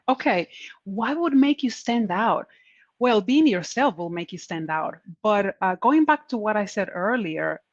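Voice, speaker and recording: A woman's voice: medium pitch, no room sound. Earnest woman on a dull video call. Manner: earnest, encouraging, repeats key points. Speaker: panelist giving career advice. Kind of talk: conference Q&A answers.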